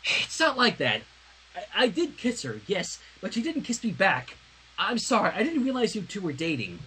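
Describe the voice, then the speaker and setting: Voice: medium pitch, huge pitch swings, normal speed, bright, forceful tone, slight background noise. A fan fiction reader voicing a poised pony princess, a competitive rival in love, firm and dramatic. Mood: apologetic.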